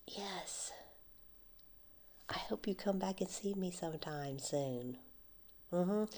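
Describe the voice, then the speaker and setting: A woman in an ASMR roleplay as a friendly flea market vendor, speaking softly, often slipping into whispers, with light frequent laughs. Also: deep voice.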